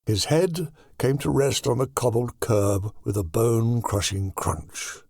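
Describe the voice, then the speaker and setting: A man narrating a horror audiobook in a deliberate, dramatic storytelling manner. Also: medium pitch, gravelly, darkish tone, dry recording, clean studio sound.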